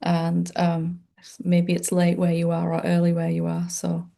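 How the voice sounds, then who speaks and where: A woman meditation teacher leading a gentle, unscripted guided meditation in a soothing, informal and reassuring way. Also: fairly low pitch, completely flat delivery, unhurried, vocal fry.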